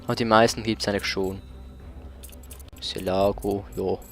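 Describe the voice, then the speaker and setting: A man's voice: lowish voice, flat delivery, moderate pace, faint music behind. Man casually commentating a video game, often hesitating. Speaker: let's play host.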